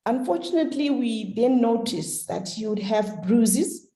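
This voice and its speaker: A middle-aged woman from Zimbabwe telling her story in a measured, storytelling way on a webinar. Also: on the high side, lively intonation, warm tone, echoey room. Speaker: hemophilia carrier sharing her story. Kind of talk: webinar talk.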